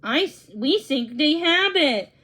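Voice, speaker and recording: A woman voicing an eager man on a rescue mission in a storybook read-along, with a brisk, upbeat delivery. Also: high voice, lively intonation, bright, forceful tone, pitched above the performer's own voice, exaggerated voice.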